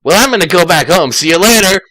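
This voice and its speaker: A man's voice: high-pitched, lively intonation, fast, bright, forceful tone, dry recording, pitched above the performer's own voice, full character voice, low-quality recording. A man voicing a cocky, upbeat male cartoon hedgehog hero in a bold, breezy manner.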